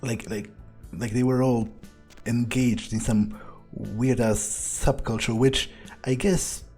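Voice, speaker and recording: A man's voice: medium pitch, fairly even intonation, takes it slowly, a little mellow, faint music behind. A man roleplaying through a video game, thinking aloud in a hesitant, sardonic, sometimes profane way. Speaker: game player roleplaying a character.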